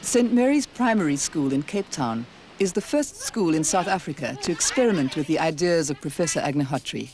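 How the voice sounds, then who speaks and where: A narrator reading a formal documentary script in an even, steady delivery. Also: medium pitch, brisk, a little hiss or hum. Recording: narration over loud music. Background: music.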